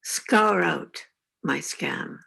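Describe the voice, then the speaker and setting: Very creaky woman reading extremely slowly. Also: deep voice, heavy background noise. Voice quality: creaky, husky. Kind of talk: very slow poetry reading.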